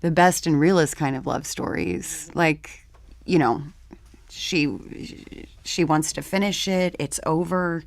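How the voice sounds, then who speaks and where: A woman's voice: deep voice, takes it slowly, gravelly, no room sound. A woman talking casually as a panelist discussing a play.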